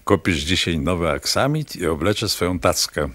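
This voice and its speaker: A man's voice: low-pitched, expressive, moderate pace, fairly bright tone. An actor playing a menacing, lecherous, domineering man in a radio drama, on a faintly noisy recording. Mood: gloating. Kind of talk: Polish radio drama acting.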